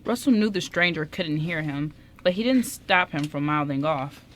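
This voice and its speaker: A woman's voice: deep voice, lively intonation, moderate pace. A woman reading scripted story narration for a radio play, occasionally stumbling, on a noisy amateur recording.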